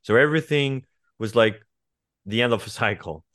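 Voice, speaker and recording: A man's voice: medium pitch, moderate pace, a bit bright, no echo, studio quality. Reflective Portuguese-accented man in his forties. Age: 40s. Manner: reflective, hesitant with many fillers and restarts. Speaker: guest writer. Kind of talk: conversational interview.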